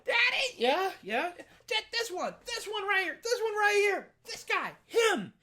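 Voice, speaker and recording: A woman voicing the sheriff's young daughter in a told folktale, shouting her words through high, cackling laughter. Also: fairly even intonation, quick pace, close to an everyday voice. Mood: giddy.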